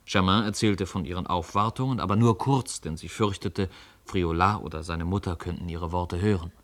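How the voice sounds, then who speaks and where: A man narrating a literary radio play as an expressive, measured storyteller. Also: low voice.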